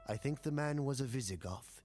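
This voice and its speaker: A man voicing a brooding former warrior turned priest, hushed and deliberate, in a game. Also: medium pitch, lively intonation, big-room sound, music in the background, pitched above the performer's own voice, lightly acted. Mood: brooding, nostalgic.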